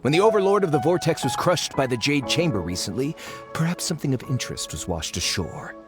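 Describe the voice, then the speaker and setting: An actor playing a scheming foreign archaeologist who speaks politely and persuasively, in a game cutscene. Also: male voice, medium pitch, very animated, a little fast, lightly acted. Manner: polite, persuasive, slyly eager. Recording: game audio with music.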